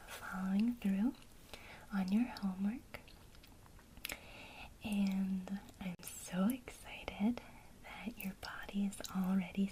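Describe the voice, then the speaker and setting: A woman whispering an ASMR witchcraft lesson, gently encouraging a listener she addresses as her student. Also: mid-range voice, a little hiss or hum, poor audio. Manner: speaks to the listener as a student, pausing for imagined replies.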